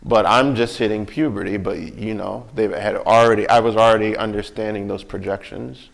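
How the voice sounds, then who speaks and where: Low, creaky-voiced American man. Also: steady tone, normal speed. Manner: conversational, often seeking agreement with tag questions. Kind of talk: casual reflective conversation.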